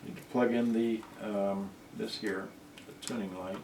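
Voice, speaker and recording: A man talking to himself in halting, casual mutters as he gives a hands-on repair commentary. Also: on the low side, fairly even intonation. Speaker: repair video host. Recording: distant roomy mic, loud noise, clicks and clatter.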